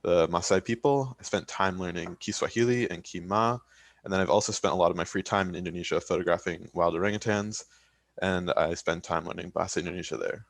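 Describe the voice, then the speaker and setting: A young man from Washington, D.C., introducing himself at a measured pace with small pauses. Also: low-pitched, creaky, no room sound. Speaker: student panelist. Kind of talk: self-introduction on a video call.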